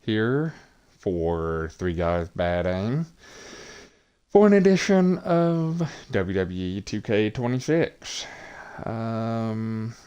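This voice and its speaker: A man streaming a wrestling video game, talking in a monotonous, hesitant way with many pauses and fillers. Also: on the low side, smooth, lo-fi sound. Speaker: gaming streamer. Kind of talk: casual game commentary.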